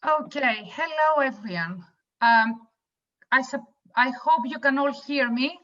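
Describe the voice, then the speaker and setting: British woman presenting online, loud and upbeat, high bright voice, dull call audio. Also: takes it slowly, far from the mic. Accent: British English. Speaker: webinar presenter. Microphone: video call.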